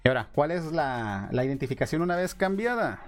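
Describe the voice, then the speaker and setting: A man's voice: fairly high pitch, lively intonation, a little fast, faint music behind. A man with a Mexican accent reading a post aloud and commenting on it in a casual, sarcastic way. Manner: sarcastic, laughs at what he reads.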